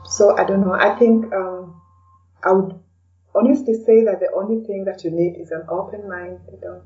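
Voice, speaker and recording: A woman with a soft-edged, mellow tone, recorded dully and at some distance from the microphone. She talks as a guest in a casual interview, feeling out her thoughts as she goes and often tacking on "you know". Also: medium pitch, fairly even intonation, normal speed. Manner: hesitant, thinking aloud, often adds "you know". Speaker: podcast guest, blogger and podcaster. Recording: dull, lo-fi, distant from the mic.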